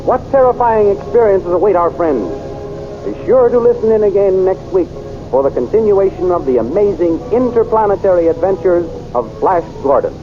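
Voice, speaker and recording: A man narrating a 1930s radio serial as announcer, dramatic and booming. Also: animated, normal speed. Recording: dull 1930s radio transcription with music.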